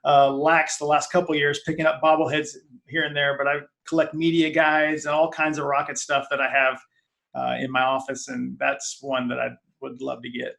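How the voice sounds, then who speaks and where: A man speaking as a guest in a casual sports discussion, in a measured, hesitant way. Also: medium pitch, completely flat delivery, gravelly, fairly bright tone. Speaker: fan site founder guest.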